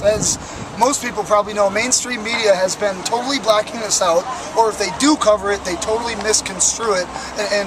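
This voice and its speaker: Raspy-voiced young man protesting outdoors. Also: high voice. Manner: earnest and impassioned, with frequent fillers. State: raspy, worn voice. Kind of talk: street interview. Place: outdoors on a city plaza by traffic. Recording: outdoor street noise.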